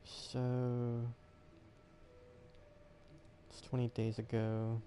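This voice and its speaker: A man's voice: medium pitch, completely flat delivery, extremely slow, dark tone, a little hiss or hum. A man streaming a game in casual chatter, muttering as he thinks aloud.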